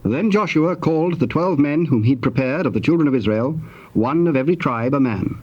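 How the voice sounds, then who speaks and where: A man with a British accent narrating scripture in a solemn, formal, deliberate way. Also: on the high side, animated, slightly rough. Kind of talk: scripted dramatic Bible narration. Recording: dull, noisy old cassette tape.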